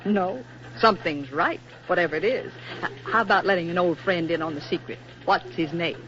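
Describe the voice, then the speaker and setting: A woman's voice: fairly low pitch, a little fast, fairly bright tone, natural voice. An actress playing a kindly, teasing middle-aged woman boss in a 1940s radio drama, wry and motherly. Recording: dull 1940s radio broadcast recording.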